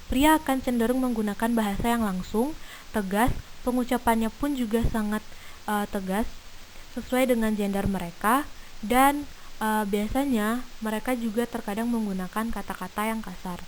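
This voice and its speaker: A young woman student in her twenties giving an earnest, measured lesson on language variation, with frequent hesitations. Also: on the high side, darkish tone, slight background noise, poor audio. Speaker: linguistics student presenter. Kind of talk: reading an explanatory lecture.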